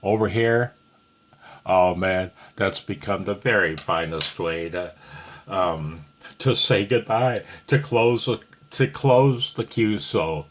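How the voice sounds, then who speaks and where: Male instructor, low voice, unhurried delivery, folksy and self-deprecating, a touch nervous, informal rambling lesson. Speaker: amateur radio instructor. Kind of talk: casual, rambling tutorial talk.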